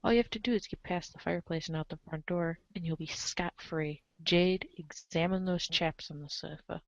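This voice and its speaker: A woman with a lowish voice reads a comic script aloud in a theatrical, emphatic way. Her delivery is playfully exasperated. The recording is very dry and slightly dull. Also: fairly even intonation, normal speed, gravelly, dry recording. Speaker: reader in a reader's theater show. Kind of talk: animated dramatic reading of a webcomic, in second person.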